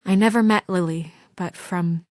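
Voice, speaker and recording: A woman's voice: on the low side, steady tone, normal speed, dry recording, studio quality, lightly acted. An actress voicing a composed, dutiful woman in a video game, earnest and controlled, with a stern edge when pushed. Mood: solemn. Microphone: dry studio mic.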